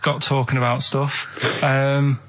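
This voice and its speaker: A man with a northern English Manchester accent chatting casually on radio in a deadpan, stubborn, rambling way. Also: mid-range voice, takes it slowly, low-quality recording. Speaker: radio show co-host.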